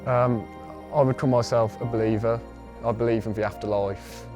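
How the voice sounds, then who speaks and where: A man's voice: mid-range voice, steady tone, normal speed, music in the background. A twenty-one-year-old man, a student, talking casually in a documentary interview. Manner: casual, conversational. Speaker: media production student.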